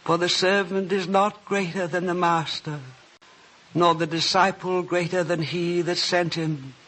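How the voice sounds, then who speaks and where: An actor playing a solemn scripture narrator who also speaks the words of a grave Jesus, reverent and formal in a radio drama. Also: male voice, high-pitched, steady tone, unhurried, fairly bright tone. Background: prominent music.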